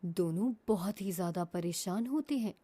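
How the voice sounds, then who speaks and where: A woman narrating a children's cartoon moral story in a lively storytelling way. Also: medium pitch, moderate pace.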